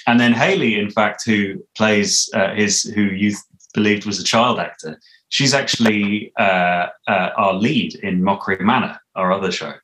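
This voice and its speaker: Male interview guest, 30s. Very low pitch, conversational, feels his way through sentences. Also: normal speed, fairly bright tone, slight background noise, rough recording. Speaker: interview guest, audio drama composer. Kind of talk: casual interview chat. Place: living room at home, on a video call.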